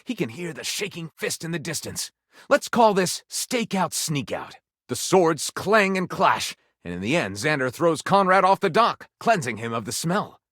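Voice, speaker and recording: Theatrical, animated storyteller. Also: male voice, on the high side, no echo, clean studio sound. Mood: theatrical, relishing. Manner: theatrical, wry, savouring titles with dramatic pauses. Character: theatrical male storyteller, a datable game character titling his tales. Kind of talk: dramatic scripted storybook narration.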